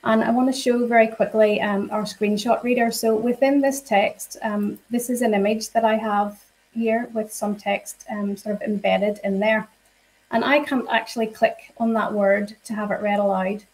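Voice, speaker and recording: A woman giving a live software demonstration to teachers. She explains each step in a friendly way and uses frequent fillers. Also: medium pitch, flat delivery, moderate pace, rough recording. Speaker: software trainer.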